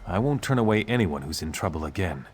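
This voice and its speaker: A stern, duty-bound guard officer from a fantasy video game. The voice is very low and expressive, grave and earnest in delivery, with faint ambient noise behind it. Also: male voice, fast talker. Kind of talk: scripted fantasy game dialogue.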